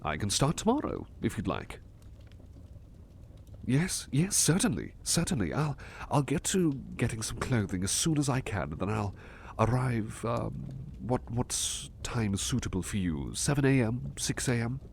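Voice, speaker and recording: A man playing an eager, courteous butler in an ASMR roleplay, speaking formally and politely with flustered, excitable stammering. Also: mid-range voice, normal speed, creaky, slight background noise. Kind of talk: ASMR roleplay acting, personal attention.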